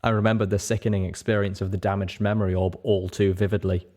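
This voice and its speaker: A man reading a story aloud as narrator, in an expressive scripted style. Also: deep voice, fast talker. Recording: roomy, clearly noisy home recording.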